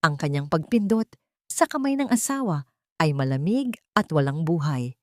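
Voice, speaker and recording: A woman's voice: low-pitched, expressive, moderate pace, no echo, studio quality. A woman reading scripted dramatic narration as a storyteller.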